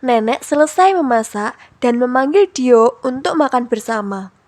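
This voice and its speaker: A woman's voice: sits high, lively intonation, moderate pace, darkish tone. A young woman, a student storyteller, narrating a scripted children's tale. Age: young adult.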